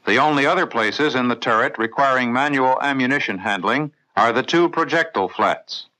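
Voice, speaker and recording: A man with an American accent reading scripted mid-century instructional film narration for the navy in a clear, methodical, authoritative way. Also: medium pitch, normal speed, bright, forceful tone, low-quality recording. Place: voice-over recorded in a studio.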